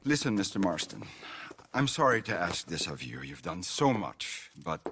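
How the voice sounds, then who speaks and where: An actor playing a genteel, self-important middle-aged mayor in a video game, with a florid, theatrical, ingratiating manner. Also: male voice, sits low, very animated, moderate pace, a bit bright, a little hiss or hum, full character voice. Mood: evasive, apologetic. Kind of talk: video game cutscene acting.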